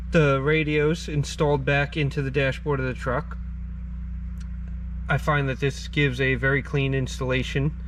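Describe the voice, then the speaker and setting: A man's voice: medium pitch, background noise. A man giving a casual, plain-spoken, step-by-step how-to as he works, as the host of a DIY garage channel. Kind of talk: casual how-to narration while working.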